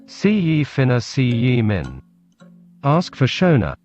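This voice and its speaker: A man's voice: mid-range voice, huge pitch swings, slow pace, a little mellow, soft background music. A man with a Scottish accent voicing a chirpy, brash, big-boned crematorium receptionist woman, blunt and irreverent, in a story. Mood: blunt, breezy. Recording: muffled lo-fi recording with background noise.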